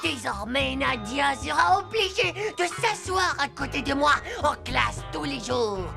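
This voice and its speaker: A woman's voice: high voice, animated, a little slow, gravelly, full character voice. A woman voicing a mouthy, dramatic schoolboy in a French cartoon, slangy and emphatic. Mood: commanding. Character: mouthy, dramatic schoolboy, cocky and easily exasperated. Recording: music behind the voice.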